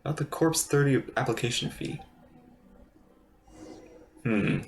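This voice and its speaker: Male game streamer, mid-pitched, offhand reaction commentary with faint noise behind. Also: expressive, unhurried, creaky. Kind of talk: casual live commentary.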